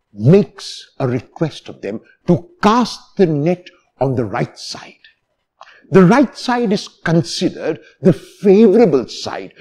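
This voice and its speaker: A man in his sixties, a priest, preaching a homily in a deliberate, emphatic manner with phrases repeated for stress. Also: on the high side, warm tone. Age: 60s. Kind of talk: homily, expository sermon. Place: church, at the lectern.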